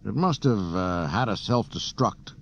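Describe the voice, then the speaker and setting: A man's voice: fairly low pitch, animated, a little slow, vocal fry, a bit bright, pitched above the performer's own voice, heavily acted. A man voicing a casual, wary rebel pilot with an offhand, hesitant manner in an audiobook on a hissy cassette. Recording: dull cassette transfer with hiss.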